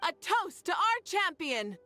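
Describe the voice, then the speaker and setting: An actress playing a confident, gracious young woman in a video game, speaking in a ceremonious, measured way. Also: extremely high pitch, sing-song, bright, forceful tone, soft background music, full character voice. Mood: proud, celebratory.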